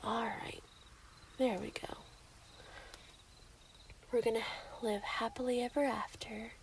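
A woman playing an obsessive, possessive young werewolf in love in an intimate ASMR roleplay, cooing and menacing in breathy half-whispers. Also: on the high side, steady tone, takes it slowly, a little hiss or hum, poor audio. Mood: possessive, controlling.

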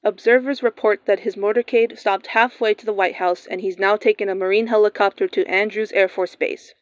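A woman playing a radio newscaster, reading breaking news in a steady, formal manner. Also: medium pitch, fairly quick, no echo, natural voice. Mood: urgent. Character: composed female radio newscaster. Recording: dull lo-fi sound.

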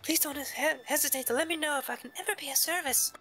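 A man voicing a shy, earnest young village woman from a video game in a polite falsetto. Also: fast, bright, forceful tone, faint music behind. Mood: flustered, shy. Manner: polite, hesitant, stammering when flustered.

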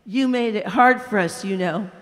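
A woman voicing a humble male spirit, speaking calmly and earnestly. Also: mid-range voice, natural voice. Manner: calm, earnest, slow. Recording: echoey hall.

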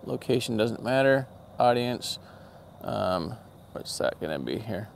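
Chatty middle-aged man with gravelly voice. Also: fairly low pitch, lively intonation, measured pace. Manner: upbeat, chatty, talks to viewers by name. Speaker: channel host doing a livestream. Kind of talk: casual livestream chat with viewers. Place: outdoor parking lot at night. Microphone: phone held at arm's length. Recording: faint outdoor background noise.

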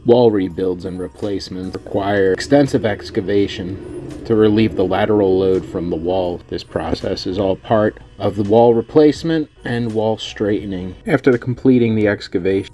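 A man's voice: fairly low pitch, warm tone. A man giving scripted instructional narration as a contractor, in a matter-of-fact, technical way. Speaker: contractor narrating company's repair work.

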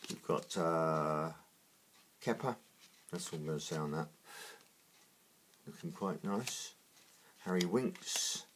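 Croaky, low-voiced man unboxing cards. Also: slight background noise. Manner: slow, halting, many fillers. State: croaky, just woken up. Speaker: trading card unboxing host. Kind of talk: casual unboxing commentary.

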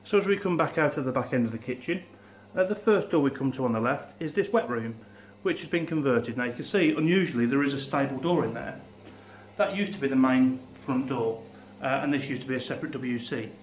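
A man in his forties, an estate agent, walking through a house and pointing out rooms in a steady, informative way. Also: medium pitch, fairly quick. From England. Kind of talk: property walkthrough tour.

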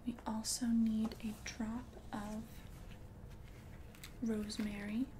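A woman voicing an ancient, confident witch in a whispered ASMR roleplay, speaking in a soft, breathy, deliberate and soothing way. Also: fairly high pitch, flat delivery, background noise. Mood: calm, instructive. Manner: slow, deliberate, soothing, repeats words for emphasis. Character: ancient, confident witch brewing love potions.

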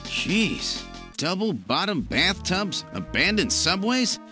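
A man's voice: high voice, big pitch swings, takes it slowly, exaggerated voice. A man playing an earnest, determined detective hero in an adventure game, with dramatic, emphatic delivery. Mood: puzzled, deducing. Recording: reverberant echo, music behind.

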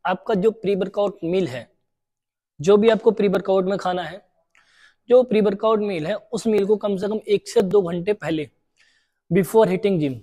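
A young man speaks in a fairly high, warm voice in a large indoor gym, taken from a camera a few steps away. He lays out gym rules one by one and repeats each key point for newcomers. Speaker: gym trainer. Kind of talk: fitness tips to camera.

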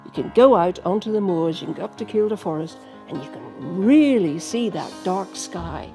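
Older woman, warm dark tone, conversational storytelling, panel talk, soft music behind. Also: on the low side, animated, moderate pace. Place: book-lined library room, seated. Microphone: microphone on a stand.

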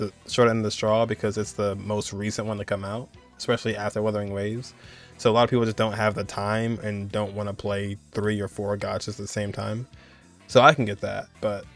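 A young adult man hosting a gaming reaction video with casual commentary. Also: fairly low pitch.

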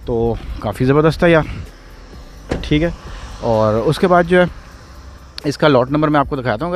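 एक पाकिस्तानी उर्दू लहजे वाला आदमी, खुले कार नीलामी यार्ड में हाथ के फ़ोन कैमरे से व्लॉग बनाते हुए, बातूनी और उत्साही अंदाज़ में।. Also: male voice, medium pitch, slight background noise. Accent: Pakistani Urdu. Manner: chatty and excitable, exclaiming over cars. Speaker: vlogger touring a car auction yard. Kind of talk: casual walk-and-talk vlog. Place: outdoor car auction lot. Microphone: handheld phone camera.

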